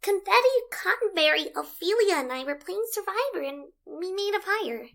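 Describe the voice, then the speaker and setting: A woman's voice: very high-pitched, animated, normal speed, creaky, a bit bright, heavily acted. A woman playing an anxious, stubborn eight-year-old cartoon pony girl with a pleading, childlike manner. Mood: nervous. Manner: pleading, childlike, stammering when in trouble. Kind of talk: animated fan audio drama acting.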